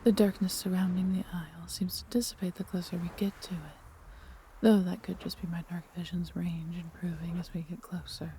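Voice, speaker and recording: Woman playing a low, proud, doting dragoness, noisy recording. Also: little pitch movement, mellow, natural voice. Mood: protective, watchful. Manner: theatrical, haughty yet tender, measured delivery. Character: proud, doting female dragon, adventurous and protective. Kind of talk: fantasy audio roleplay addressed to listener.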